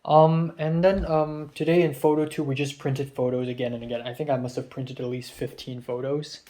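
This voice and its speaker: A young man chatting casually in a vlog, tasting food and recounting his day, his voice a little raspy after a long phone call. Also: mid-range voice, normal speed. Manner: relaxed, chatty, drifting between soft murmurs and louder remarks. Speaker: vlogger.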